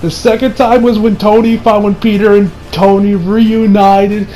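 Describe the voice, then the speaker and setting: High-voiced, excitable young man. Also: measured pace, rough recording. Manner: excitable, gushing, swearing, often laughing. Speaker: movie reviewer. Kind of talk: casual unscripted fan review.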